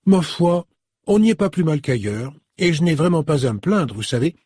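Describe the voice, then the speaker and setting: Eager young shop assistant, high voice, husky, dry, as a male reader puts her on. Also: big pitch swings, normal speed, dark tone, no echo. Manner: chatty, eager, exclamatory.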